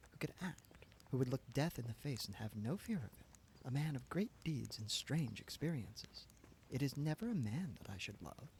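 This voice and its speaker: A man's voice: mid-range voice, a little mellow, a little hiss or hum, rough recording. A man voicing an earnest, idealistic young woman who speaks in a lofty, lightly reproving way, read as an audiobook character.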